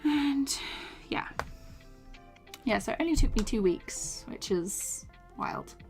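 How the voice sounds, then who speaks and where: Young woman, casual stream chat, tired worn voice, laughs often, soft mumbles, home room, desk mic, faint music behind. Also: on the high side, lively intonation, slow pace. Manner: chatty, laughs often, trails off into soft mumbles while working. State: voice tired from talking all day. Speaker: animation streamer. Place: small home room, indoors. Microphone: desk microphone with foam cover.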